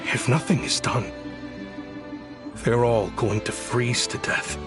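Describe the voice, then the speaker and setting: A man's voice: mid-range voice, fairly even intonation, takes it slowly, lightly acted. A man playing a guilt-ridden official turned petty criminal in a video game, with a pleading, theatrical delivery. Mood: anguished. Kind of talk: dramatic video game voice acting. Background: loud background music.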